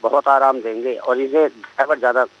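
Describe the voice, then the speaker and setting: A man's voice: mid-range voice, fairly even intonation, fairly quick. A man calling in to a radio show, plain-spoken and agreeable, heard over a phone line. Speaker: caller.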